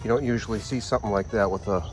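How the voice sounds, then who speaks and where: A man's voice: lowish voice, rapid-fire, faint music behind. A middle-aged man with a Massachusetts accent, talking enthusiastically about flowers in a sunny garden. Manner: enthusiastic, easygoing, chuckles often. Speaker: garden visitor. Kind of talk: casual interview about flowers.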